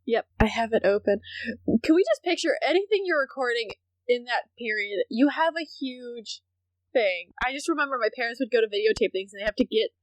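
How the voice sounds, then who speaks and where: A woman hosting a podcast, chatting casually with quick, enthusiastic reactions. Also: a little high, animated, no room sound, rough recording. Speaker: podcast host. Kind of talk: casual chat.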